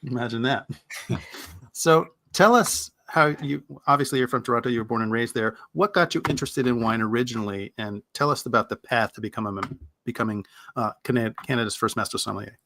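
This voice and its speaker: A man's voice: medium pitch, a little fast, sharp tone. A man co-hosting an interview in casual, conversational chat. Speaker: interview co-host.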